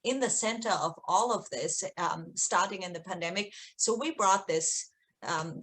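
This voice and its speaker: A woman's voice: lowish voice, a bit bright, slight background noise, low-quality recording. A middle-aged woman from Austria, a native German speaker with an Austrian accent, explaining things conversationally as an interview guest. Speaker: research executive, interview guest.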